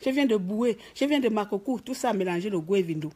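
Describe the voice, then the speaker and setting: A woman's voice: medium pitch, animated, quick pace, dark tone, breathy edge. A woman from Gabon with a Gabonese French accent, scolding and mocking in an emphatic political rant. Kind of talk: ranting political commentary to camera. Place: office.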